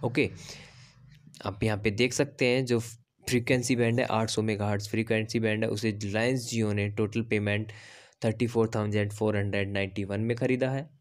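A man's voice: on the low side, flat delivery. A man hosting his own channel, talking through auction figures shown on screen and reading lists of regions and numbers. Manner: reads slowly and haltingly in English passages; lists circles and figures off slides. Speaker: channel host. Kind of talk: explainer reading documents on screen. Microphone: voice-over over slides.

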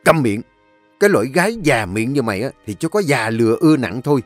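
A man voicing a furious young master of a rich household who yells curses. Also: mid-range voice, very animated, quick pace, dry recording, faint music behind, lightly acted. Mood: enraged. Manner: yelling, cursing.

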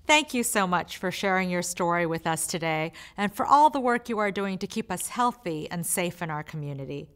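A woman's voice: lowish voice, expressive, normal speed, few pauses, a bit bright, smooth. An upbeat woman hosts a streamed event from a studio stage, speaking into a handheld microphone. She talks to the audience directly in a scripted, welcoming way. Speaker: event host. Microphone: handheld mic.

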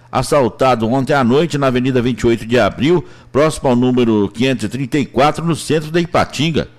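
A man with a Brazilian accent reading scripted police news reports as a reporter. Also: mid-range voice.

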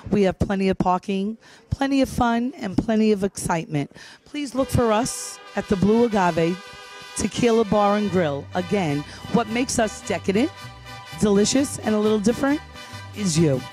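A middle-aged woman giving an emphatic promotional pitch for a restaurant into a handheld microphone. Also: animated, moderate pace, darkish tone, soft background music, poor audio. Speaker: restaurant spokeswoman. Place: restaurant dining room by a bar.

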